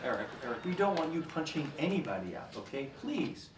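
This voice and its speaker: Male actor as group therapist: placating, over-encouraging, hesitant, highish voice, distant mic, comedic sketch. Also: big pitch swings, a little fast. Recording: echoey room, distant mic, faint noise.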